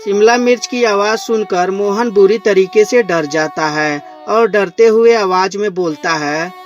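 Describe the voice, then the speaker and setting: A woman's voice: medium pitch, unhurried, highs cut off. A woman narrating a scripted children's moral story. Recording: music behind the voice.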